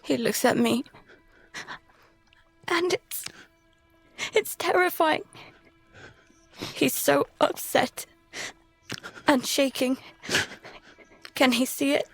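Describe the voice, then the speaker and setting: High-pitched, frightened daughter. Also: female voice, drawn-out words, pauses often, no room sound, faint music behind, heavily acted. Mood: fearful, tense. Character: terrified young daughter recounting a monster attack at sea. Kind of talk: first-person audio drama narration, intense acting.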